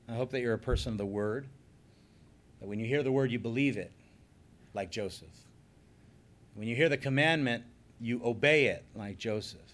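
A man preaching a sermon, earnest and emphatic, slowing down on key phrases and then leading a closing prayer. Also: mid-range voice. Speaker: pastor.

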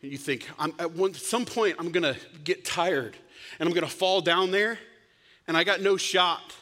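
A man preaching a sermon, telling stories with loud bursts and sudden hushed whispers. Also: high voice, moderate pace. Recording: long hall reverb.

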